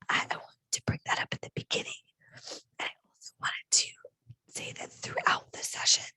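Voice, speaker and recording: A woman's voice: pushed and bright, no room sound, poor audio. A woman who has lost her voice, whispering breathily close to a microphone as a teacher presenting a conference session, chatty and self-deprecating. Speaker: staff development teacher presenting.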